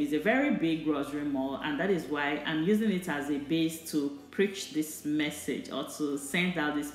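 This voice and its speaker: A woman from Nigeria giving earnest, repetitive advice in a voice-over monologue. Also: low voice. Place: voice-over over store footage. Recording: roomy sound, faint music.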